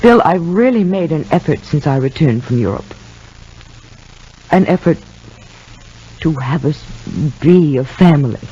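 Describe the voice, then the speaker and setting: Earnest troubled wife in a 1950 radio serial, slightly rough voice, dull old recording. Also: female voice, low-pitched, expressive, a little slow, long pauses, dark tone, exaggerated voice. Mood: hurt, frustrated. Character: troubled, earnest married sister and mother, weighing her choices. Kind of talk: 1950s radio soap opera acting.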